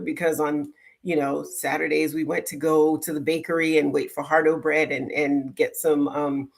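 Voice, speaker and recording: A middle-aged woman speaks as an interview guest. She is from Jamaica and Brooklyn, and she talks conversationally, in a reflective and encouraging way. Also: sits low. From born in Jamaica, raised in Brooklyn, United States. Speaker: guest, life coach and educator.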